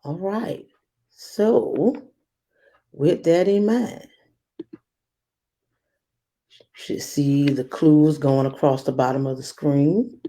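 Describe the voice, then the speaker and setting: A woman guiding young listeners through a read-aloud lesson, instructive and speaking straight to them with emphatic pauses. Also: deep voice, dark tone. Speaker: guest reader and read-aloud host for children.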